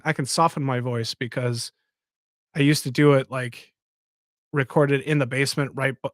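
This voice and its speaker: A man's voice: mid-range voice, no room sound, studio quality. A man hosting a live stream, chatting casually and rambling as he talks to his viewers. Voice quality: says he has a soft voice. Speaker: podcast host.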